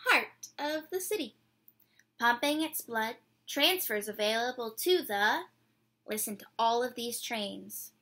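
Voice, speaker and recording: A woman in her twenties doing an expressive, theatrical picture-book read-aloud for children, drawing out words and putting questions to her young listeners. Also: fairly high pitch, measured pace. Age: 20s. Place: small decorated room indoors.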